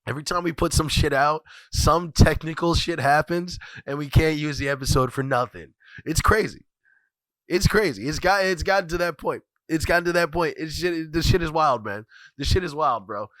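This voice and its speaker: A man's voice: fairly high pitch, moderate pace, fairly bright tone, no room sound. Congested man riffing on his podcast. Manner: rambling, repeats phrases, swears freely. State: congested, scratchy voice from a cold. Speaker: podcast host. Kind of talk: casual profane solo commentary.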